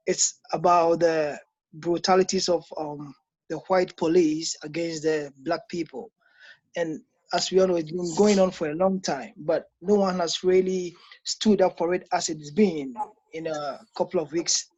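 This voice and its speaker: Ghanaian man, husky, muffled sound. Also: a little high, a little mellow. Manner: thoughtful, halting with many ums. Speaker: interviewee, church member. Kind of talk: casual spoken reflection.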